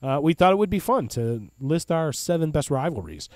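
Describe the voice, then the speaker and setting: A man's voice: on the high side, big pitch swings, moderate pace, darkish tone, no echo, clean studio sound. Enthusiastic man podcasting. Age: 30s. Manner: enthusiastic, hype-man plugs. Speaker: podcast co-host. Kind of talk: casual sports podcast chat.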